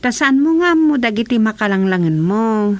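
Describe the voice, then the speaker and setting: A woman acts out a chatty village woman in a rural radio comedy. Her voice is a little higher than average. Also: animated, normal speed, a little mellow, lightly acted.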